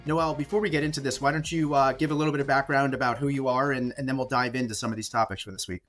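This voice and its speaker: A man's voice: medium pitch, steady tone, racing, a bit bright. Male podcast host, mid-range voice, informal and jokey, faint music underneath. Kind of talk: casual podcast hosting.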